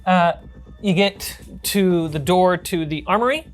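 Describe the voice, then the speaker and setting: A woman's voice: fairly low pitch, unhurried. A woman narrating a tabletop role-playing game as game master.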